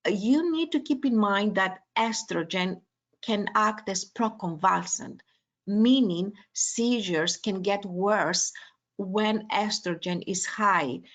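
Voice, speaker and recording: Slow, hesitant woman with a Greek accent. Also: medium pitch. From Greece. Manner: hesitant, many uh and um fillers. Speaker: neurologist giving a webinar. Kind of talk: medical lecture webinar.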